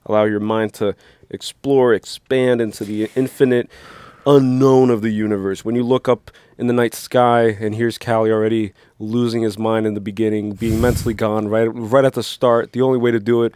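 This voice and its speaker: A man's voice: on the low side, level tone, normal speed, darkish tone, smooth, no echo. A young man from New Jersey co-hosting a casual movie reaction channel, talking in a playful, rambling, teasing way. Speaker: co-host. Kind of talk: casual chat.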